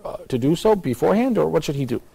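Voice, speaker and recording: A man's voice: a little high, big pitch swings, very fast, gravelly, warm tone, breathy edge. An American man hosting a talk show in a casual, conversational style. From United States. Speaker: live talk show host.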